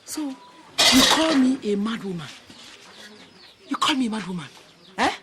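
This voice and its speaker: An actress playing a quarrelsome village woman who accuses her neighbor and talks in a shouting, confrontational way. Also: a little high, expressive, fast talker, heavy background noise, close to an everyday voice. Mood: accusing.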